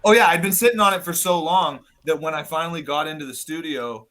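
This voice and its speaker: A man guest on a podcast, talking loud and casual, swearing often and rambling as he goes. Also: a little high, fast talker. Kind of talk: casual chat.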